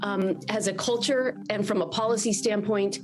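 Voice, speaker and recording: A woman's voice: medium pitch, fairly even intonation, moderate pace, over music. A woman doctor speaking in a panel discussion, firm and listing points. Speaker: suicide prevention doctor.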